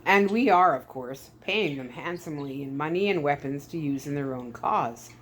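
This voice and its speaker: A smug Soviet KGB skipper , as a woman reader puts him on, the talkative male villain of a storybook adventure. He gloats in a deliberate, theatrical way, pleased with himself and keen to explain. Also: sits low, animated, slight background noise, voice pushed down. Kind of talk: storybook read-aloud villain.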